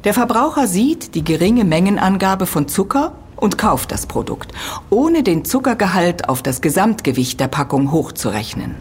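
An actress playing an earnest, critical university lecturer in an audio drama, explaining precisely and emphatically. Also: female voice, medium pitch, sing-song, normal speed, slight background noise, natural voice. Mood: explanatory, critical. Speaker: expert being interviewed.

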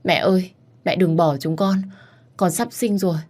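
A woman's voice: low voice, fairly quick, a little mellow, breathy edge. Woman acting a pleading, heartbroken young daughter. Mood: desperate pleading.

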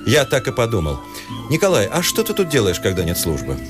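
A man's voice: mid-range voice, huge pitch swings, a little fast, over music. A man acting an earnest, educated city professor in a radio play, articulate and insistently questioning. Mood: probing.

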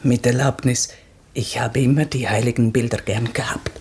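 A woman's voice: a bass voice, steady tone, normal speed, a little mellow, slight background noise. An actress playing a humble, deferential older single woman in a German radio play, speaking in simple, servant-like phrases. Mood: shy, deferential. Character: humble, deferential old spinster on a pilgrimage tour.

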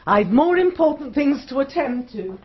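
A woman's voice: high voice, animated, highs cut off. An actress playing an imperious, theatrical elderly upper-class English lady of the manor in a radio drama. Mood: irritated. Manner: brisk, dismissive, declamatory.

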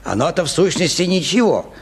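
An actor playing a sentimental, fatherly old man in a Russian radio play, husky and earnest in manner. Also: fairly high pitch, sing-song, brisk, exaggerated voice. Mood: gently reproving. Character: sentimental, tactful old man, eldest dinner guest.

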